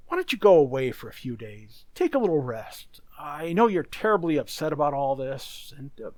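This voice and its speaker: A man playing a confused, forgetful, apologetic husband with halting speech in a radio mystery. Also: on the high side, very animated, darkish tone, dry recording. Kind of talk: old radio mystery acting.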